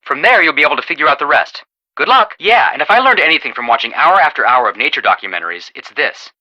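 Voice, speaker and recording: A man's voice: a little high, animated, fast talker, lo-fi sound. An actor playing a friendly, earnest young amateur detective in a video game, chatty and reassuring as he gives tips. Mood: helpful.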